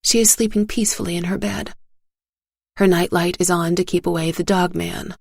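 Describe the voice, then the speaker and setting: A woman narrating a dark fairy tale in the first person, with measured, hushed suspense. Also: lowish voice, steady tone, creaky, no echo, clean studio sound. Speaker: story narrator.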